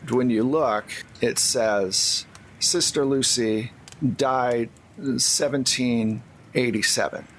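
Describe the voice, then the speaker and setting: A man's voice: mid-range voice, fairly bright tone, slight background noise. A man working as game master and host, narrating a tabletop roleplaying game.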